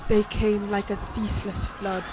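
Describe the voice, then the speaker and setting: A woman playing a defiant, vengeful Germanic warrior in a scripted audio roleplay, narrating solemnly and deliberately with weighty pauses. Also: medium pitch, level tone, music in the background, close to an everyday voice, poor audio. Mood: grave, weary. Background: ambient sound effects.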